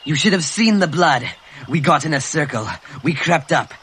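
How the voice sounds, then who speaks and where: A man voicing a bossy, bloodthirsty boy who leads the hunters, talking in a boastful, excited way. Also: on the high side, normal speed, slight background noise.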